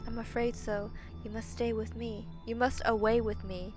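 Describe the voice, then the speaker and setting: A woman voicing a solemn, urgent female monster in a storybook read-aloud, speaking formally and quietly. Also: a little high, little pitch movement, normal speed, over music, close to an everyday voice. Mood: grave, urgent.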